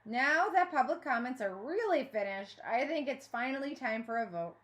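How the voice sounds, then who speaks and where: A woman voicing a brisk, impatient female mayor in a storybook, formal and projecting like an official. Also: high-pitched, expressive, fairly bright tone.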